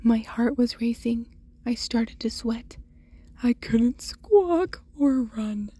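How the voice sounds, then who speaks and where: A woman voicing an excitable, boastful male turkey in a children's storybook, with dramatic, exclamatory delivery. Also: fairly high pitch, slow, breathy edge, voice pushed up, full character voice. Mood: frantic. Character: excitable, boastful turkey telling his school escape tale.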